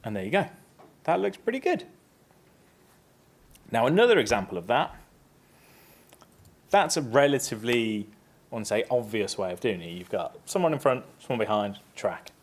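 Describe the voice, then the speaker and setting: Upbeat man giving a live software demo. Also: mid-range voice, very animated, moderate pace, long pauses. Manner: casual and upbeat, steps through clicks. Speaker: presenter. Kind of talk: live software tutorial talk. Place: conference stage.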